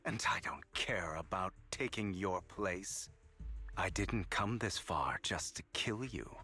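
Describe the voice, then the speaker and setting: A man's voice: low voice, takes it slowly, sharp tone, exaggerated voice. A man playing a proud young master thief and con artist in a video game, with theatrical, dramatic delivery over music. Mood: defiant, resolute.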